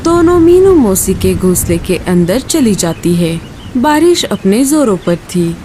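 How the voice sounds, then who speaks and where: A woman narrating a children's cartoon story in an expressive, singsong way and speaking to young viewers. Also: medium pitch, measured pace, a little hiss or hum.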